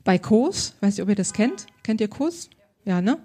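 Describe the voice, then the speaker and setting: Upbeat woman stylist chatting in an interview, soft dark voice, lots of "super" and "mega". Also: medium pitch, big pitch swings, normal speed. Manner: enthusiastic, upbeat, colloquial. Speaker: style consultant. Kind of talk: casual interview answers.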